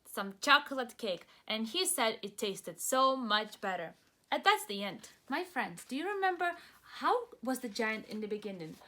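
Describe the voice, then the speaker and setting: A woman's voice: a little high, big pitch swings, moderate pace, sharp tone. A woman teacher doing a children's read-aloud, asking simple, encouraging questions of young listeners. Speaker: preschool teacher reading aloud.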